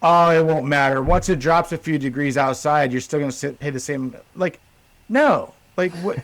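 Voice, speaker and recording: A man's voice: a little high, normal speed, a bit bright. A man co-hosting a casual podcast, rambling with many restarts and fillers. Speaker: podcast co-host.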